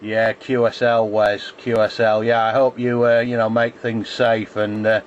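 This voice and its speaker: A man's voice: on the low side, little pitch movement, unhurried. A man with an English accent chats casually as an amateur radio operator, hesitating and repeating phrases. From England. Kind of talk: casual ham radio contact. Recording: dull sound with faint radio noise.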